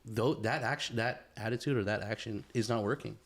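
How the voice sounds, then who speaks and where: A man in his thirties with a slightly low voice. He co-hosts a podcast in a loose, casual chat style, swearing freely and repeating phrases to drive points home. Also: fairly quick, a bit bright. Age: 30s. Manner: profane, informal, repeats phrases for emphasis. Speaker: podcast co-host.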